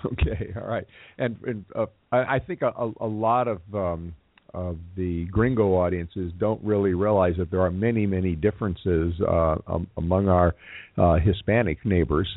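A man hosting a casual radio interview, talking in a halting, friendly way with frequent fillers and restarts. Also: deep voice, no echo. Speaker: radio show host. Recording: tinny phone-like line.

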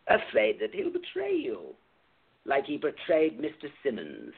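A man's voice: a little high, huge pitch swings, unhurried, creaky, slightly rough, full character voice. A man playing a menacing, cold club president who gives sneering commands, on a tinny phone-like line. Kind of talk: amateur radio play acting.

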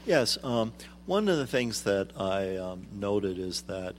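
A middle-aged man, a guest on a radio interview, explaining things in a measured way with frequent ums. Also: on the low side, very animated, a little hiss or hum. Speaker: guest, arts executive director.

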